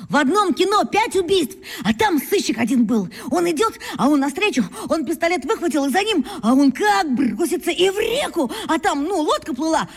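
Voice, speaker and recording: Excitable high-voiced boastful schoolboy. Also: female voice, moderate pace, hardly any pauses, exaggerated voice. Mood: excited. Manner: breathless, boastful storytelling with exclamations. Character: excitable boastful schoolboy playing amateur detective. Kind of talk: children's radio drama acting.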